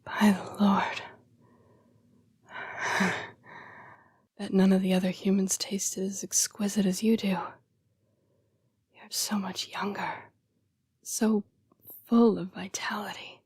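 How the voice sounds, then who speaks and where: A woman voicing an apologetic, protective yet predatory female vampire fighting her bloodlust in an intimate audio roleplay, with hushed, formal, halting speech. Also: mid-range voice, flat delivery, no room sound. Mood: ravenous, remorseful. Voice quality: breathy, whispery. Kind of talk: intimate scripted audio roleplay addressed to the listener.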